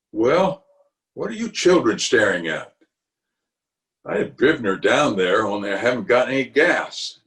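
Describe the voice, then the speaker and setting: A man's voice: mid-range voice, a bit bright. A man playing a pompous, self-justifying middle-aged uncle in an old-time radio comedy, with a blustery, chuckling manner. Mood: flustered. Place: recorded remotely over a video call.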